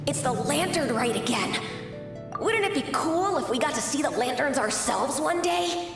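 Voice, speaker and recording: Brief: dreamy child, very high voice, far away in an echoing space with music. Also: female voice, animated, normal speed. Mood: cheerful. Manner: wistful, enthusiastic. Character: food-loving young child, dreamy and cheerful. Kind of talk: video game voice acting. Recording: distant echoey hall with music.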